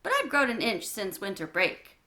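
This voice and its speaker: A woman's voice: mid-range voice, big pitch swings, normal speed, bright, forceful tone. A woman reading aloud, voicing an easygoing, athletic schoolboy in a casual way.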